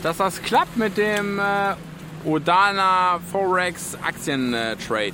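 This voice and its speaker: High-pitched effusive man on noisy street. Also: slow pace. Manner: effusive, repetitive, hesitant rambling. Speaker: passer-by. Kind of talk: casual street chat. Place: outdoors on an urban street.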